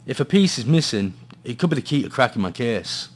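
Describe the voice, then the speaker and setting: A man's voice: medium pitch, very animated, fairly quick. An actor playing a gloomy hard-boiled male detective, narrating and talking in a noir radio play with brooding drama. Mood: puzzled, uneasy.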